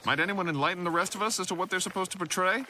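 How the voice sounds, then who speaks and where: A man's voice: high-pitched, rapid-fire, pushed and bright, slight background noise, pitched above the performer's own voice. A man playing a learned, enthusiastic and kindly professor of magic, lecturing formally and eagerly in a fantasy audio drama. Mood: didactic, encouraging.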